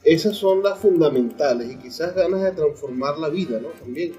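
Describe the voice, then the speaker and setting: A man's voice: on the high side, normal speed, warm tone. A man with a Venezuelan accent hosting a literary radio interview, speaking as presenter and reader. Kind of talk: literary radio interview, reading poetry aloud at times. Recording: dull lo-fi radio sound, music behind. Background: music.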